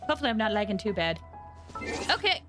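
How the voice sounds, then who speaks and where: A woman game streamer chatting casually with her viewers in an excitable, chatty manner. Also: medium pitch, quick pace, over music. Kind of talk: casual livestream chat with viewers.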